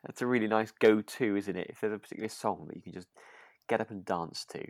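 A man's voice: fairly low pitch, fast, darkish tone, no room sound, studio quality. A British man co-hosting a podcast, chatting casually about a radio drama in a chatty, giggly way. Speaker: podcast co-host.

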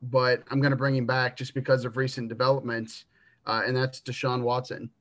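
A man in his twenties co-hosting a sports podcast, giving takes with dry humor and thinking out loud. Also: mid-range voice, flat delivery, fairly quick. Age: 20s. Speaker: podcast co-host. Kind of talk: casual sports podcast chat.

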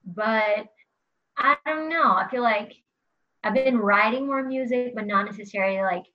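A woman's voice: fairly high pitch, normal speed. A young woman from Brazil, a musician being interviewed, talking casually with lots of fillers and little laughs. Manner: chatty, frequent "like" fillers, laughs while talking. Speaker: musician guest. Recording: slightly dull lo-fi sound.

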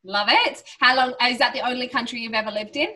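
Bright, bubbly young woman hosting a podcast. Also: a little high, fast. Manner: bubbly, effusive, gushing praise. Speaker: podcast host. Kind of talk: casual interview.

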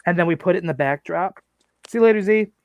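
A man in his late thirties talks in loose, crude banter, rambling and joking as he goes. His voice sits a bit high for a man. The sound is close and dry, with no room around it. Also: fast, no echo. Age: 30s. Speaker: podcast co-host.

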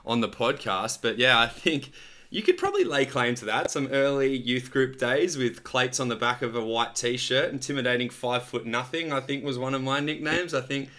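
A man's voice: medium pitch, animated, moderate pace, sharp tone. A man in his thirties hosting a podcast interview over a video call, enthusiastic and rambling, with lots of restarts. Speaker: podcast host. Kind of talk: friendly casual interview chat.

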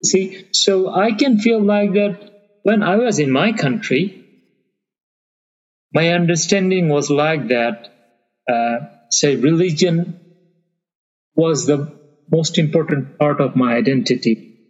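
A man from Bangladesh whose first language is Bengali, speaking thoughtfully in an interview and pausing often to find his words. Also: on the high side. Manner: thoughtful, searching for words with frequent fillers. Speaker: interview guest. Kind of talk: conversational interview. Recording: long reverb, muffled lo-fi sound.

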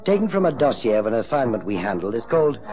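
An actor playing a suave private investigator in an old-time radio drama, measured and polite. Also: male voice, medium pitch, big pitch swings, over loud music, close to an everyday voice. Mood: measured, dramatic. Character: suave male private investigator telling his case.

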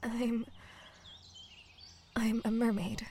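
An earnest mermaid in human form in an audio drama. Her voice is fairly high and slow, soft and hesitant, pleading and often close to whispering, over noticeable background ambience. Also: little pitch movement, a little hiss or hum. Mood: pleading, tender. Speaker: voice actor.

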